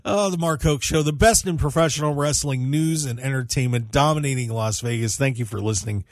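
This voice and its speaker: A man's voice: mid-range voice, a little fast, dry recording, studio quality. An American man hosting a casual sports talk radio show in a jokey, rambling way. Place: dry radio studio.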